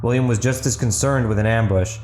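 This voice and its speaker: A man's voice: medium pitch, fairly quick, a little mellow. A man narrating fiction as a dramatic scripted audiobook. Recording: roomy echo, faint music underneath.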